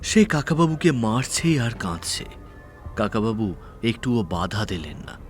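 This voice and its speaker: A male narrator with a fairly low voice tells a detective story. His delivery is scripted and dramatic. Soft music plays behind him. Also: sing-song, measured pace.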